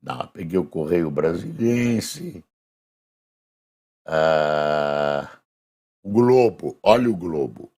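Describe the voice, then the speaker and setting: A hoarse man with a Brazilian accent presenting a casual spoken review of newspaper front pages, slowly reading headlines aloud with emphasis. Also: very deep voice, animated, darkish tone. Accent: Brazilian Portuguese. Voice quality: gravelly, husky.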